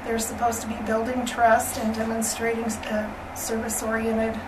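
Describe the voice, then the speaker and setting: A woman with a voice going hoarse gives a friendly, chatty slide presentation at a school board meeting, with many ums and throat clearing. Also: a little high, moderate pace. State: losing her voice, hoarse. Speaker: elementary school staff presenter. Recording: distant room mic with steady noise.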